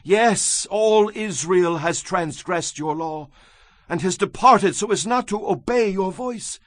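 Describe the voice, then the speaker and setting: An actor playing an anguished Hebrew prophet in a dramatized scripture reading, husky, solemn and impassioned as he pleads with God. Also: high-pitched, takes it slowly, dry recording. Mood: anguished pleading. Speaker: actor in a dramatized audio Bible.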